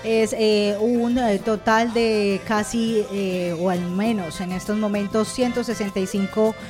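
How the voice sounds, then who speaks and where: A Colombian woman presents live radio with a medium-pitched female voice. Her delivery is halting and hesitant, with stretched pauses as she relays news. Music plays faintly behind her. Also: fairly even intonation, darkish tone. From Colombia, Cali. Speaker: radio show host.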